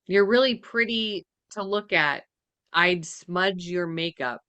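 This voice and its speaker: A woman's voice: fairly low pitch, slow pace, pushed and bright, clear, resonant tone. A woman co-hosting a podcast in a casual, chatty reaction style. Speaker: podcast co-host.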